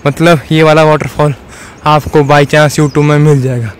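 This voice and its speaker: A man's voice: on the high side, flat delivery, measured pace, clear, resonant tone. A man in his twenties chatting casually to camera as a travel vlogger, holding a phone at arm's length outdoors. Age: 20s. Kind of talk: casual vlog chat to camera. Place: outdoors on a forested mountain trail.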